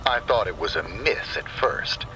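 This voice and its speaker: A man narrating a scripted first-person horror story in a deliberate, dramatic manner. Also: lowish voice, animated, background noise. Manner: slow, deliberate, dramatic delivery. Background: rain and thunder sound effects.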